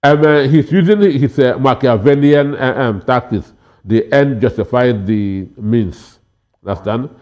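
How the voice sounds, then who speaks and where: A man with a Nigerian accent giving political commentary haltingly, with stammers and many hesitations. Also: medium pitch, a little mellow, dull audio. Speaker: political analyst panel guest.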